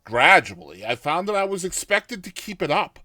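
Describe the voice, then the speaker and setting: A man narrating a classic short story in a theatrical, expressive first-person style, like a dramatized audiobook. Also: high-pitched, normal speed, no room sound, clean studio sound.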